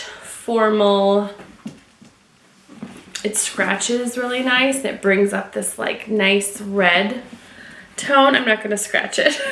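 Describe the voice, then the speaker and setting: A woman's voice: mid-range voice, a bit bright. A woman from Michigan chatting casually to viewers while unboxing and reviewing a leather tote at home. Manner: chatty, talks to viewers while handling the bag. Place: home room. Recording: echoey room with faint noise.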